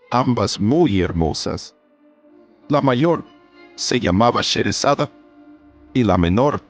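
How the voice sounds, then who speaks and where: A man narrating a scripted story in a measured, formal storytelling manner. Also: fairly low pitch, a little mellow.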